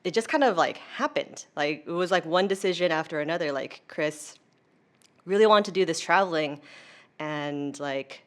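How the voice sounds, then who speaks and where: A young American woman with a notably low voice speaks as a guest in a relaxed interview. Her phrasing is informal and chatty, full of "like" as she tells personal stories. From United States. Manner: chatty, informal, heavy use of "like". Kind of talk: casual conversational interview.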